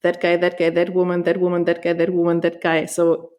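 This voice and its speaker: A woman from a German-speaking country co-hosting a podcast, speaking in a measured, thoughtful way with light laughs. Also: fairly low pitch, completely flat delivery, darkish tone. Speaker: podcast co-host. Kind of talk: casual conversation.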